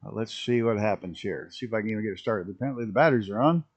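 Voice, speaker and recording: A man with a rough early-morning voice, chatting casually and rambling as he streams a flight simulator. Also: lowish voice, racing. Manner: rambling, self-interrupting, chuckling at mishaps. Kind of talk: casual live-stream commentary.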